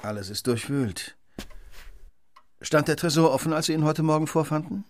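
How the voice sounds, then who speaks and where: A man's voice: a little high, animated, normal speed, close to an everyday voice. An actor playing a shrewd, composed male detective in a German radio drama, probing and precise with dry irony. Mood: analytical, dry. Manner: probing, precise, dryly ironic.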